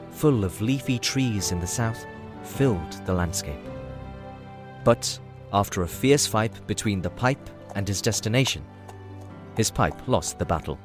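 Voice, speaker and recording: A man narrating a scripted fantasy story as a storybook narrator. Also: on the low side.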